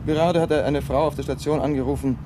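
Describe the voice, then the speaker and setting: An actor playing a businesslike, matter-of-fact patrol constable in a German radio play. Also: male voice, on the high side, steady tone, fast, close to an everyday voice. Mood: brisk. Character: businesslike male patrol constable, brisk colleague. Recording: car noise behind voice.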